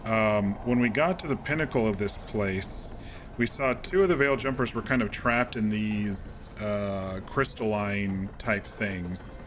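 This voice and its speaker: A man streaming a game, casually recapping events in a calm, rambling way with many fillers and restarts. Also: fairly low pitch, measured pace, background noise.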